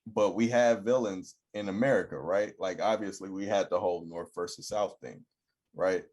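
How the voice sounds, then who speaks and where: Young guy on a podcast, kinda husky low voice, tells stories and does everybody's lines. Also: normal speed. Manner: animated storyteller, acts out quoted people. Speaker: podcast co-host. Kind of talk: casual chat.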